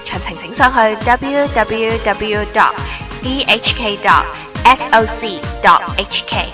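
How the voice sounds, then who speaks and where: A woman's voice: a little high, slow. A woman announcer with a Hong Kong Cantonese accent reading a scripted promo. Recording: music under the voice.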